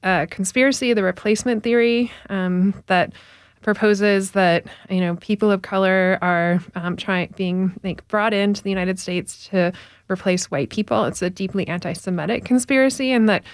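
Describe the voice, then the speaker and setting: Woman professor, analytical, many fillers, smooth clear tone, dry close sound; radio guest. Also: medium pitch, normal speed, no room sound. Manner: animated, analytical, frequent fillers, laughing asides. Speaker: university professor and researcher. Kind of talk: radio interview.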